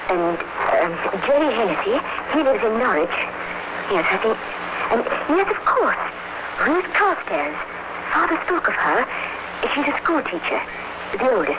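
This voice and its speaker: An actress playing a nervous young woman in an old radio drama, halting and anxious as she tries to stay brave. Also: a little high. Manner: halting, anxious, broken phrases. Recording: muffled, very noisy old radio recording.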